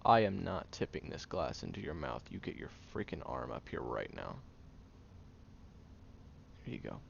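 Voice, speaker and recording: Audio roleplay: male actor as tired tsundere guy, gruff but secretly caring, halting, creaky voice with lively swings in pitch. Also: full character voice. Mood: weary, caring. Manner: halting, sighing, grumpy and flustered. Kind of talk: intimate audio roleplay, acted monologue to the listener. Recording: dull lo-fi, clear background noise.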